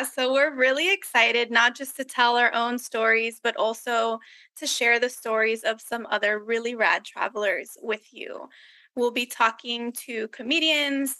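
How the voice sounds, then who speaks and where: Bright-voiced American woman co-hosting a podcast. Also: on the high side, no echo. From Miami, USA. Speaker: podcast co-host. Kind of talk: casual podcast talk.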